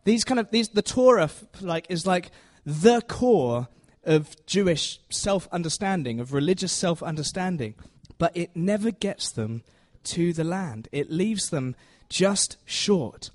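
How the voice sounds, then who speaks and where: A man's voice: high voice, expressive, a little slow. A man giving an informal, conversational teaching sermon, self-deprecating and often checking in with his listeners. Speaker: preacher giving a Bible talk to a congregation.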